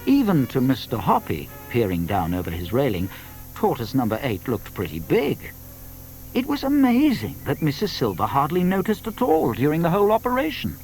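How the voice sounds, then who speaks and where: A British man narrating a children's audio drama as a wry, measured storyteller. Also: mid-range voice, huge pitch swings, a little mellow, soft background music, rough recording.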